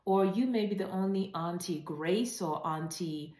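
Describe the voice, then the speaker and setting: A woman hosting an informal instructional webinar, speaking straight to viewers in an encouraging way and slowing down to stress key phrases. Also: lowish voice, darkish tone. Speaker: host of a writing advice channel. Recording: roomy, echoey sound.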